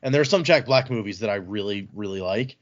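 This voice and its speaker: A man's voice: on the low side, animated, fast talker, lo-fi sound. A man co-hosting a book podcast, retelling a story in casual, colloquial chat and acting out bits of dialogue. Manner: informal, colloquial, voices characters' lines in paraphrase. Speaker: podcast co-host leading a book read-along.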